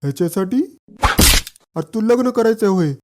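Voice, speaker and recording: An actor playing a cocky village friend in a comedy cartoon, brash, bragging and teasing. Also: male voice, sits high, lively intonation, moderate pace, very noisy. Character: cocky, boastful village friend who plays the fixer. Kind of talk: exaggerated comedy cartoon dialogue.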